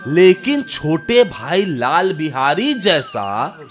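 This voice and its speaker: Chatty villager in radio drama, admiring, very up and down voice, slow pace. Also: male voice, fairly high pitch, soft background music, full character voice. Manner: animated, emphatic. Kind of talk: Hindi radio drama acting.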